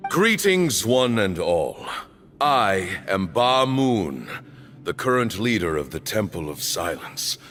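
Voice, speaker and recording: A man's voice: on the low side, very animated, takes it slowly, fairly bright tone, soft background music, heavily acted. A man playing an aged, solemn and dignified desert temple leader in a video game, speaking formally. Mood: solemn, courteous. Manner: formal, stately. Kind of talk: video game character acting.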